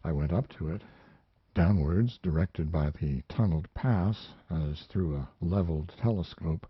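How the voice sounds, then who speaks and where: A man's voice: deep voice, measured pace, husky, dry recording, muffled recording. A man reading literary fiction as a scripted audiobook narration.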